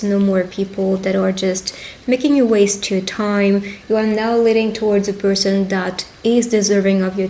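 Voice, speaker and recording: A woman speaking directly to the listener as a tarot reader in a witch roleplay, pausing as she goes. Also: mid-range voice, darkish tone. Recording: clear background ambient noise.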